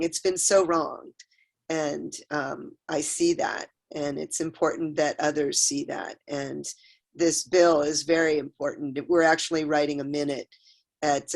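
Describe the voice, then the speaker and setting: Earnest woman with a low voice. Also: vocal fry. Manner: earnest, halting, repeats words. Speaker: workshop participant. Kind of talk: heartfelt comments on a video call.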